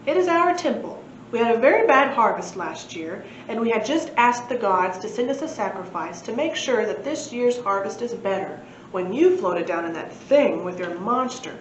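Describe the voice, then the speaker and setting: In a roomy, slightly dull recording, a woman voices a portly temple leader from a storybook. He makes solemn, stately declarations in a raised, fairly high voice. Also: expressive, normal speed, few pauses, close to an everyday voice. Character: solemn fat man, ancient temple leader. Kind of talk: storybook read-aloud. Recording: echoey room, muffled, faint noise.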